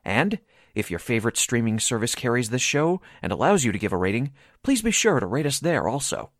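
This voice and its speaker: Male announcer, medium pitch, lively intonation, formal scripted radio-theatre delivery, very dry close recording. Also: fairly quick, dry recording.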